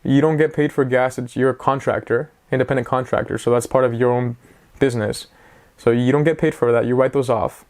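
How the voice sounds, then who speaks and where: A man in his twenties chats at an ordinary middle pitch, wandering off on tangents. He is casual and a little self-mocking, like a vlogger talking straight to his audience. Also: steady tone, brisk, a little mellow. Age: 20s. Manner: rambling, self-mocking, chatty. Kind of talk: casual talk to camera.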